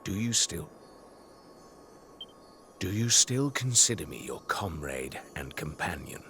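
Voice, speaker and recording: A brooding fallen knight from a video game, speaks very slowly over prominent music. His lines swing widely in pitch and sink into murmurs, bitter but full of longing for an old friend. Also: sing-song, heavily acted. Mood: bitter, remorseful. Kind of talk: dramatic video game voice acting. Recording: music behind the voice.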